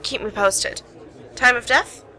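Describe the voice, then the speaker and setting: A woman's voice: on the high side, slow pace, sharp tone, some chatter behind, exaggerated voice, low-quality recording. A woman playing a wry, weary young private detective in an amateur radio play, sardonic and businesslike. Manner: sardonic, exasperated sighs.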